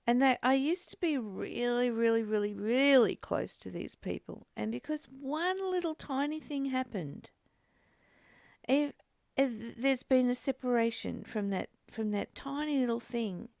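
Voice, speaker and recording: High-voiced woman with a creaky edge. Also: lively intonation, measured pace, darkish tone, no room sound, studio quality. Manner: emphatic, repeats phrases for weight; confiding, emotionally open. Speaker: host of a spiritual book study. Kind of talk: reflective spiritual discussion.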